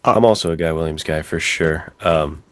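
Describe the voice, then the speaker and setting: A man's voice: extremely low pitch, normal speed, no echo. A man hosting a podcast in an informal, conversational way, chatting casually. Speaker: podcast host.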